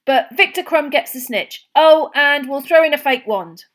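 A woman's voice: high-pitched, lively intonation, normal speed, a bit bright, voice pushed up. Woman doing a cheeky teenage boy.

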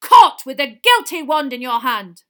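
A woman's voice: on the high side, very animated, moderate pace, fairly bright tone, pitched above the performer's own voice. A woman voicing a blustering, accusing male wizard official who barks and growls his words in a storybook reading. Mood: roaring triumph. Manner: barking, growling, interrogating.

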